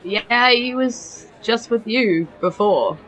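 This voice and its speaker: A woman's voice: a little high, lively intonation, slow pace, fairly bright tone, poor audio. A streetwise child urchin, acted by a woman in a tabletop game. The kid is cheeky and blunt, with an offhand manner. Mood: offhand, defensive.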